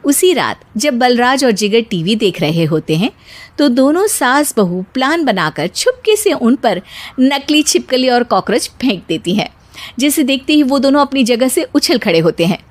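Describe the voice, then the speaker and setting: A woman's voice: on the high side, big pitch swings, moderate pace, no echo. A woman narrating a scripted comic cartoon story as its storyteller.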